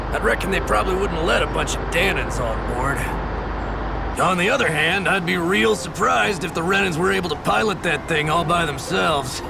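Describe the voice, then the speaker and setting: Cheerful salty sea captain, high gravelly voice, folksy drawl, video game cutscene. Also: male voice. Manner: folksy, drawling seafarer talk. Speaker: ship captain character.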